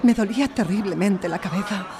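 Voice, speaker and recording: Woman playing distressed young shop assistant, medium pitch, earnest emotional account, live stage with audible crowd. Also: fairly quick, lightly acted. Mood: upset, recounting. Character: distressed young female shop assistant testifying, tearful but firm. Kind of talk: live audio drama acting. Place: recorded live before an audience in a library. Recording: live stage recording, audience noise.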